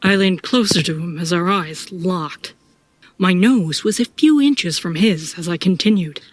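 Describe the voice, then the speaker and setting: A woman's voice: on the low side, unhurried, dry recording. A woman reading scripted first-person narration for an audio drama.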